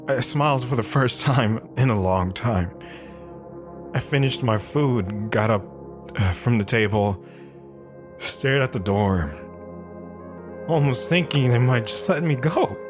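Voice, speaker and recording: A man playing a guarded, stoic wandering veteran in an audio drama, telling his story in a somber, measured way with short, plain sentences. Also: fairly low pitch. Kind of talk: dramatic first-person audio drama narration. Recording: music behind the voice.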